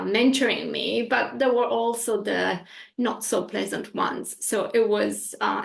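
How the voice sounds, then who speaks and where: Gravelly-voiced Italian woman, laughing a lot. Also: medium pitch. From Italy. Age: 40s. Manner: animated, laughs often, many fillers. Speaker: guest, hypnosis practitioner. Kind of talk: casual interview chat.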